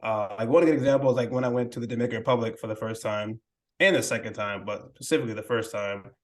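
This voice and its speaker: A young man with a mid-range voice speaks in a relaxed, unhurried way. He hedges and restarts often, sounding modest and a little self-critical, as a guest on a casual podcast. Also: mid-range voice. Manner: hesitant, many fillers and restarts. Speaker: podcast guest, language learning creator. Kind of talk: casual interview conversation.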